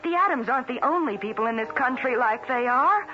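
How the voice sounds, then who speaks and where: Idealistic young reporter, forceful and earnest, dull old radio drama sound. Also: female voice, high voice, animated, a little fast. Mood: fervent, insistent. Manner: earnest, insistent. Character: passionate, idealistic, determined young woman newspaper reporter. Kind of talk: 1970s radio drama acting. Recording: dull vintage radio broadcast recording.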